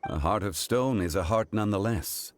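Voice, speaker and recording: An actor playing an ancient god living in human form in a game, speaking in a calm, formal, composed way. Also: male voice, lowish voice, normal speed, a little hiss or hum, lightly acted.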